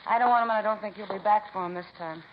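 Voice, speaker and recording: An actress playing a bitter, blunt working-class wife who complains in slangy speech, in 1950s radio drama style. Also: female voice, mid-range voice, very fast, lightly acted. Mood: bitter, fed up. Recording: muffled, noisy 1950s radio recording.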